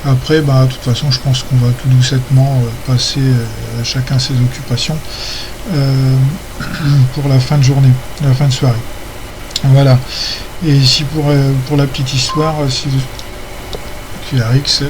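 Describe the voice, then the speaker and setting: A man's voice: mid-range voice, flat delivery, normal speed. A man chatting casually as an amateur radio operator, rambling and hesitant. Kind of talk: casual ham radio chat. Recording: noisy amateur radio session recording.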